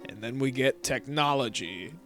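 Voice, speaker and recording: A man's voice: mid-range voice, normal speed, a bit bright, faint music behind. A man hosting a let's play, giving casual, rambling commentary over a game. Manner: laid-back and rambling, with many fillers.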